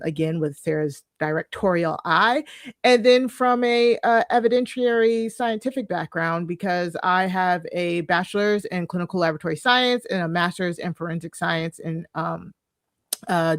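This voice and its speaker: A woman's voice: on the low side, no room sound, studio quality. An American woman, a professor, chatting playfully as a podcast guest over a home video call. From United States. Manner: playful, jokey, with ums and laughs. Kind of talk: casual chat on a live stream.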